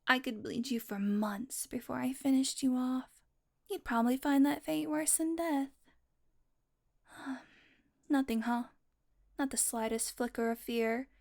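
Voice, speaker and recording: Female vampire, played by a woman for audio roleplay: fairly high voice, predatory, smug, intimate, taunting drawl with murmured asides. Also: unhurried, creaky, pauses often, a little mellow. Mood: taunting, wistful.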